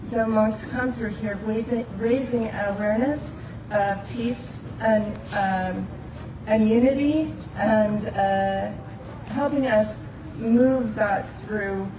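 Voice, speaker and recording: Slow, heartfelt woman at an open mic. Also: medium pitch. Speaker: community member at open mic. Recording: distant mic, roomy, background noise.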